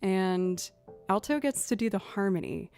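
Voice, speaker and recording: A young woman with a lower, mid-pitched voice chats casually to viewers on her live stream. She rambles a little, thinks aloud and fills gaps with easy asides. Faint music sits behind her. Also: animated, measured pace, vocal fry, darkish tone. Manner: chatty, thinking aloud with fillers.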